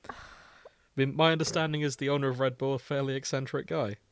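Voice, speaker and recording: A man's voice: medium pitch, steady tone, fast, clean tone, no room sound. A British man co-hosting a podcast in casual comedic chat, irreverent, rambling and sweary. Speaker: podcast co-host.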